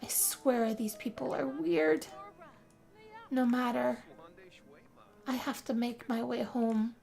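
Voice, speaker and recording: A woman's voice: fairly high pitch, little pitch movement, slow pace, a little mellow. A woman narrating a role-play story in first person as a lovestruck, weary young heroine, in a theatrical, sighing manner. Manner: dramatic, breathy sighing delivery. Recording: music under the voice.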